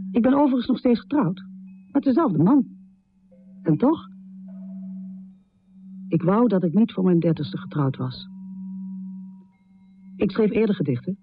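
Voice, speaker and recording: A woman's voice: sits low, narrow, tinny audio. An actress playing a troubled housewife poet in her thirties, speaking an intimate, confessional radio drama monologue in dramatic phrases. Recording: thin, band-limited radio recording.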